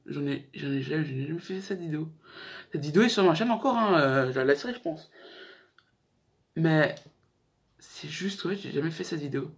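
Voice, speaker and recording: A man's voice: a little high, expressive, moderate pace, long pauses. A young man hosting a casual YouTube countdown, talking in a slangy, joking, rambling way. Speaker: YouTube host. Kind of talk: casual chat to camera, countdown list.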